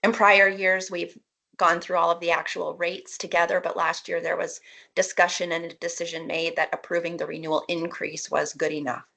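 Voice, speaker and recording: A woman speaks in a low register with a noticeably gravelly fry. She sounds matter-of-fact and explanatory, like a presenter taking a board through figures in a formal meeting. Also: little pitch movement, moderate pace, bright, forceful tone.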